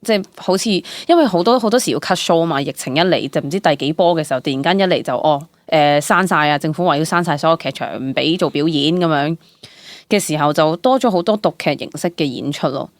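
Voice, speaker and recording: A woman's voice: low-pitched, fast, no echo. A young woman with a Hong Kong Cantonese accent, an interview guest chatting candidly and expressively, dropping in English words. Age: young adult. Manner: animated storytelling, exclamations, mixes in English words. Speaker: interview guest, stage actor. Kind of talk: casual candid chat.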